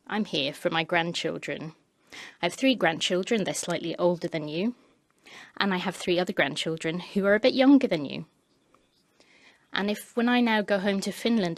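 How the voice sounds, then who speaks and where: Woman interpreting a speech live, smooth and steady, lower voice. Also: dry recording. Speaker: parliamentary interpreter. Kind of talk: simultaneous interpretation of a committee speech.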